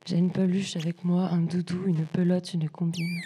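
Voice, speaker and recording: A young woman reading poetry as spoken word in measured, short separated phrases. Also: on the low side, level tone, mellow, a little hiss or hum. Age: young adult. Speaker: student writer reading collective texts.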